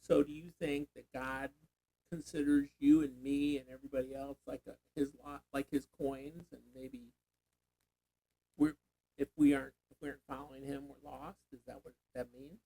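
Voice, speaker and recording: A man's voice: mid-range voice, flat delivery, moderate pace, mellow, low-quality recording. An older man, a grandfather, leading a casual Bible reading, thinking aloud and prompting with questions. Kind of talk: casual teaching chat.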